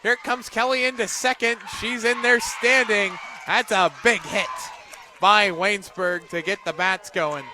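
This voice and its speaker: Male play-by-play announcer with a somewhat high voice, calling softball live on radio from an outdoor ballfield. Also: fairly even intonation, a little slow, a crowd in the background, noisy background. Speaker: play-by-play sports commentator.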